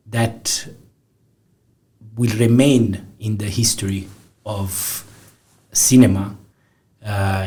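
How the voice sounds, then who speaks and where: Low husky Italian-accented man, slow. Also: a little mellow. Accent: Neapolitan Italian. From southern Italy. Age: 40s. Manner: halting, many fillers and restarts. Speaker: film director. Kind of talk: interview guest. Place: studio.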